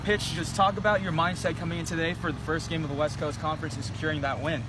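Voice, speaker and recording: A man's voice: fairly high pitch, flat delivery, fast talker. A man working as a sports reporter, conducting a postgame interview. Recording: very noisy outdoor field recording.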